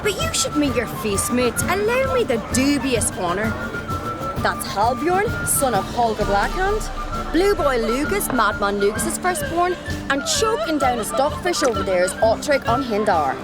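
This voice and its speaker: An actress playing a bold, competitive young jarl's daughter in a fantasy game, brisk, cheeky and challenging. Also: female voice, high-pitched, sing-song. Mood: teasing, spirited. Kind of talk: video game cutscene acting. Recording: loud music behind the voice.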